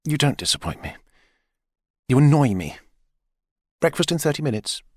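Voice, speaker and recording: A man's voice: mid-range voice, very animated, moderate pace, darkish tone, no room sound, pitched above the performer's own voice. A man voicing a blunt, exasperated young woman with a curt, dry manner in an audiobook.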